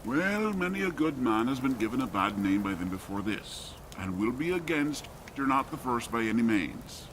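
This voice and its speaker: A man's voice: mid-range voice, very animated, normal speed, natural voice. A man playing a wry, wary peasant husband in an audio drama, speaking. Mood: wry, placating. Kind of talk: audio drama character acting. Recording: clear background ambient noise.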